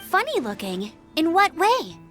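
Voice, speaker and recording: A bright, eager young scout. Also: female voice, extremely high pitch, huge pitch swings, measured pace, soft background music, full character voice. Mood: urgent. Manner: eager and confident, takes charge. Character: eager young female scout, brave and upbeat. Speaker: outrider scout.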